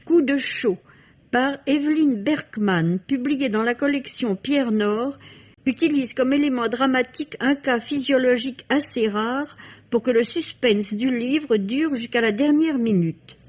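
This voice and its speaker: Female radio book critic, high register, reading a prepared review, muffled old recording. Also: measured pace, darkish tone. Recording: dull vintage radio recording.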